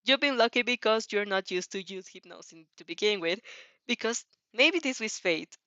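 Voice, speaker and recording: A woman's voice: mid-range voice, expressive, normal speed, sharp tone, no echo, highs cut off. A woman narrating fan fiction dramatically, often stumbling and rephrasing her lines as she reads. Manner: repeats and rephrases phrases.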